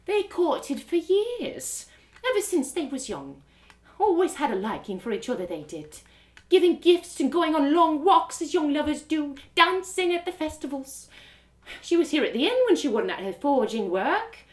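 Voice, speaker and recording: Woman playing a high-pitched, animated gossipy innkeeper. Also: normal speed. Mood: fond, pointed. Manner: folksy, effusive, rustic turns of phrase. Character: gossipy motherly innkeeper woman, merry but quick to outrage.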